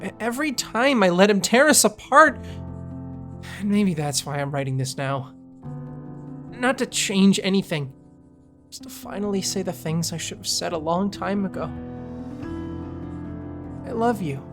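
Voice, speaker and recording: A man playing a guilt-ridden witch in an audio drama, speaking a hesitant, halting confession. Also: high-pitched, lively intonation, music in the background. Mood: anguished, tender. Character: guilt-ridden witch writing a remorseful letter.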